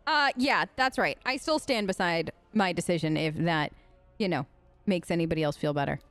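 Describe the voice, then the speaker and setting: A woman's voice: lowish voice, normal speed, no room sound, faint music behind. A woman hosting a let's play, chatty and improvising in-character replies as she reacts aloud to the game.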